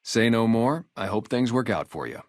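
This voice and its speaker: A man's voice: medium pitch, animated, moderate pace, no room sound, studio quality, close to an everyday voice. A man acting a video game character, a guarded, loyal ex-gang sniper on town security, talking in a casual, streetwise way. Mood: earnest, friendly. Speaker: security guard and former soldier.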